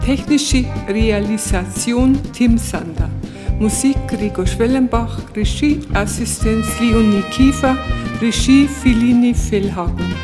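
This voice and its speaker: A woman's voice: fairly high pitch, takes it slowly, music in the background. A woman announcer reading scripted radio play credits.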